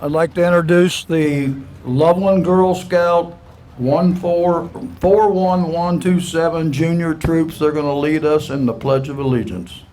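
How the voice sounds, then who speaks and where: A man's voice: on the high side, a little slow, darkish tone, slight background noise, low-quality recording. An American man making plain, informal announcements as master of ceremonies. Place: outdoor memorial ceremony.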